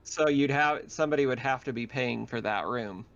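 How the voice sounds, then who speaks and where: A man's voice: medium pitch, moderate pace, a bit bright, dull audio. A man talking casually as game master of an online tabletop roleplaying game. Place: remote online voice chat.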